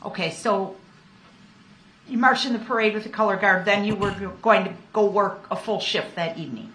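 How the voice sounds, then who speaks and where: A woman's voice: mid-range voice, moderate pace, fairly bright tone. American female prosecutor, formal short questions, mid-range voice in a reverberant courtroom with slight noise. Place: indoor courtroom. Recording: echoey courtroom with faint noise.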